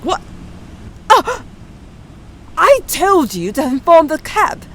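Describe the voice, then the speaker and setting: A woman's voice: very high voice, sing-song, slow pace, a bit bright, slight background noise, exaggerated voice. A woman playing an envious, irritable Victorian woman writer in an audio drama, theatrical and sardonic. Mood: exasperated.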